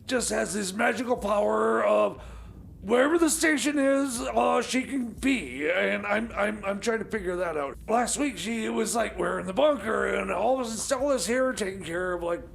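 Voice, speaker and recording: Very high, creaky-voiced stammering man. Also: normal speed, a little hiss or hum. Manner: hesitant, stammering, eager. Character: Jeb, flustered eager bunker sidekick full of schemes. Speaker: comedy radio co-host. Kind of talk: improvised comic banter.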